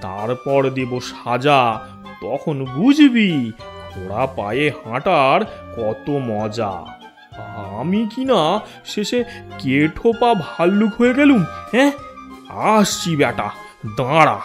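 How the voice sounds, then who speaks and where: A vengeful one-legged storybook bear, voiced by a man, in a children's cartoon. The voice is on the high side and drags out threats very slowly and menacingly. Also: sing-song, faint music behind. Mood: angry, vengeful. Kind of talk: Bengali fairy-tale cartoon voice acting.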